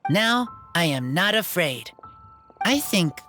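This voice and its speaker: A woman's voice: lowish voice, very animated, slow, pushed and bright, soft background music, heavily acted. A woman voicing an earnest young wild boy in a video game, speaking in short, simple, sincere phrases. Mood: earnest, gentle.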